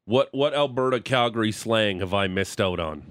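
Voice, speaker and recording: Male radio host, Ontario accent, low and chatty, close dry studio mic. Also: moderate pace, fairly bright tone, no echo. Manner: chatty, self-mocking. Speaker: radio sports show host. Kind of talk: casual radio banter.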